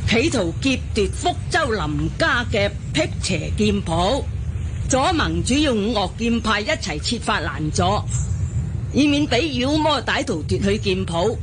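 A woman with a Hong Kong accent playing a stern, scolding older nun, an authoritative martial elder in a radio drama. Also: mid-range voice. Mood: commanding. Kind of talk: Cantonese wuxia radio drama acting. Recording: radio drama with loud outdoor background noise.

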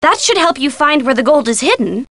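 A perky young female sidekick from a mystery video game. Her voice is very high and swings up and down with playful eagerness. It is a dry, close studio sound, chummy and helpful. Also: sing-song, fairly quick, fairly bright tone, no echo, full character voice. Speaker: game character giving hints.

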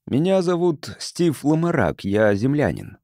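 A man voicing a calm, curious sociologist from Earth in an audiobook, measured and polite. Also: fairly high pitch, dry recording, studio quality.

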